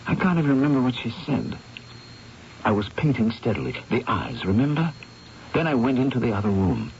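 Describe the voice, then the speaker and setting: An actor playing a troubled, obsessive painter in a radio mystery drama, speaking with theatrical intensity and hesitant repetitions. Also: male voice, mid-range voice, lively intonation, brisk, darkish tone, slight background noise, lightly acted. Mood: agitated, bewildered. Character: troubled, obsessive male painter, fearful and awestruck. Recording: muffled vintage radio broadcast, music underneath.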